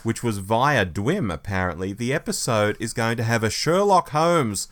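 A man's voice: medium pitch, animated, normal speed, fairly bright tone. A man co-hosting a podcast, chatting informally and wryly about fan news. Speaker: podcast co-host. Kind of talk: casual discussion of Doctor Who fandom news.

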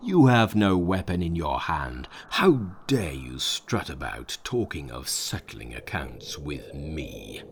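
A man voicing a huge arrogant male demon warrior in a storybook reading, slow and mocking. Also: a bass voice, very animated, slight background noise, voice pushed down, full character voice. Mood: scornful.

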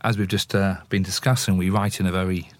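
A man's voice: low voice, little pitch movement, a little fast, no echo. A man hosting a podcast in a conversational, reflective and explanatory way. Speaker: podcast host.

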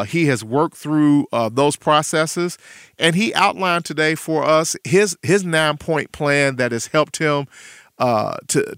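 A middle-aged man, a pastor, hosting an interview in a gentle, pastoral way. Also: mid-range voice, clear, resonant tone, no echo, studio quality. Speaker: pastor and host.

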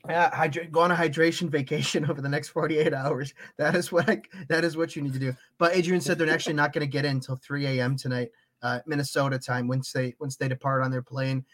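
A man's voice: fairly high pitch, fairly even intonation, fast talker, few pauses, fairly bright tone. A man hosting a casual soccer podcast in an upbeat, friendly manner, reading out chat comments. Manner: upbeat, friendly, frequent fillers. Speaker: podcast host.

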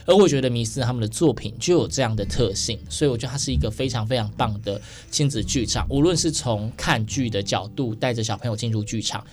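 A man with a Taiwanese Mandarin accent hosting a casual interview in a radio studio. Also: mid-range voice. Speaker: radio show host.

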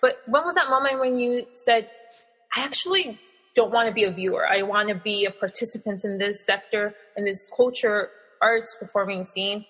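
A woman's voice: mid-range voice, normal speed, a bit bright, breathy edge, long reverb tail, low-quality recording. A woman hosting an interview podcast, enthusiastic, asking long, winding questions. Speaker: podcast host.

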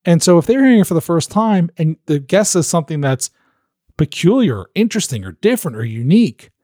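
A man gives animated interviewing advice in a fairly high voice, slipping into little acted-out voices as he explains. He coaches podcast hosts. His voice sounds dry and close, with no room echo. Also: quick pace. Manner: animated, acts out quoted voices.

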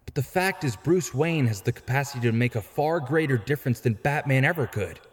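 Man acting young vigilante sidekick, audio drama, frank, articulate, earnest, mid pitch, long reverb. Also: lively intonation, fairly quick, natural voice. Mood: earnest argument.

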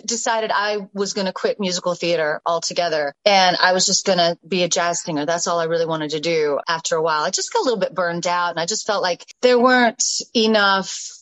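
A woman with a mixed Southern American and British accent, telling stories as a podcast guest in an animated way, laughing often. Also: lowish voice, fairly quick, no room sound, muffled recording. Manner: animated, laughing, many restarts and asides. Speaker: interview guest, stage actress and singer. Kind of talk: casual storytelling in a podcast interview.

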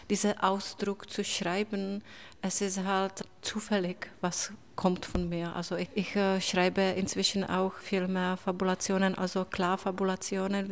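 A Czech woman answering interview questions in a learned second language, pausing often with fillers and broken grammar. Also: mid-range voice, creaky. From Czech Republic. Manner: hesitant, many pauses and fillers, broken grammar. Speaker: writer, interview guest.

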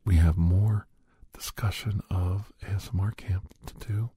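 A middle-aged man hosting a whispered ASMR roleplay, chatting softly and gently teasing the listener as a caregiver. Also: sits low, fairly even intonation, measured pace, slight background noise. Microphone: close microphone.

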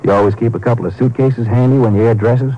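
An actor playing a sharp young Ranger partner in a 1950s radio drama, dry and pointed. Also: male voice, mid-range voice, fairly even intonation, close to an everyday voice. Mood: probing. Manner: dry, pointed, quick to challenge. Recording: dull vintage radio broadcast.

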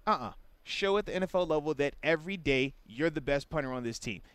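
A man co-hosting a casual sports talk show, breaking down football plays in a relaxed way and dropping "man" often. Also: a little high, fast talker, smooth, no echo. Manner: relaxed, explains with repeated "man". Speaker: sports show co-host and analyst.

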